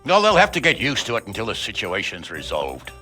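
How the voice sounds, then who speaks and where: A man playing a blunt, commanding nobleman in a video game, speaking with forceful authority. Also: lowish voice, wildly swooping pitch, fairly quick, faint music behind, full character voice. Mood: firm. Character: blunt, foul-mouthed, commanding noble lord. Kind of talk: video game cutscene acting.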